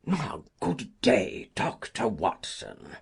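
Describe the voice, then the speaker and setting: Storybook old gentleman, low rough voice, pompous, hearty, swooping intonation. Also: huge pitch swings, takes it slowly, exaggerated voice. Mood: jovial. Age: older. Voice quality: husky, rough. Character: blustering, gleeful, grey-whiskered old gentleman fond of lawsuits.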